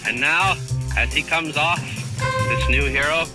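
Casting: male live-event radio announcer, fairly high voice, archive broadcast feel, music loud on top. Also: measured pace. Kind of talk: English-language live broadcast commentary.

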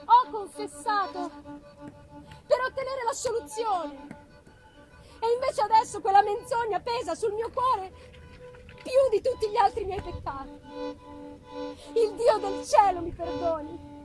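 A woman voicing an anguished, condemned young servant woman in a dramatic reading, halting and pleading. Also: very high-pitched, a little mellow, pitched above the performer's own voice. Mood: distraught. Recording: live music behind.

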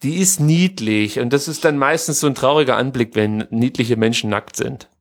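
A man's voice: medium pitch, moderate pace. A man co-hosting a podcast, chatting casually and giving his opinions in colloquial language. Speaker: podcast co-host. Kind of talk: casual chat about pro wrestling.

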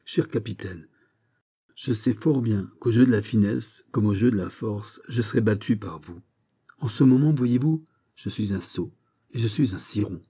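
A young nobleman in love and in anguish, as a male reader plays him. He speaks politely and earnestly, with melodramatic pleading. The timbre is soft and very dark, as in a storybook reading. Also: lowish voice, normal speed, breathy edge. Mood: dejected. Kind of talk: dramatised audiobook of a historical novel.

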